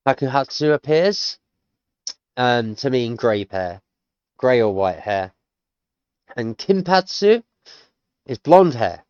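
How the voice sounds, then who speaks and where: A man's voice: medium pitch, darkish tone, clean tone, no echo, dull audio. A British man in his thirties, teaching himself a language on stream, thinking aloud in a rambling, hesitant way. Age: 30s. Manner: rambling, hesitant, full of restarts. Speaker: self-taught language learner streaming. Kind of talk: casual thinking-aloud commentary.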